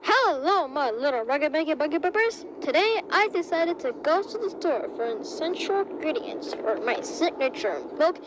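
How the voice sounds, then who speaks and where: A woman's voice: high-pitched, big pitch swings, normal speed, few pauses. A woman voicing a zany, theatrical male ringmaster who hosts a digital circus in a fan dub. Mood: grandiose. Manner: theatrical, silly and bossy. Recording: noisy home recording.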